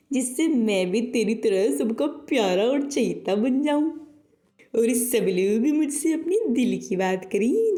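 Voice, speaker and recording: A woman's voice: on the high side, mellow, echoey room, voice pushed down. A woman voicing a brash, conceited male parrot for a children's story, with cheeky, folksy exclamations and buddy-like talk. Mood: pleading, curious.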